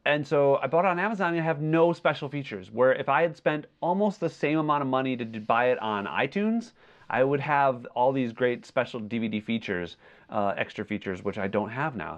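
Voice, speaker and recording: A man's voice: medium pitch, lively intonation, fairly quick, highs cut off. A man co-hosting a podcast, giving his opinions on film in a casual, tentative way. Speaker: podcast co-host. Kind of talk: casual film review chat.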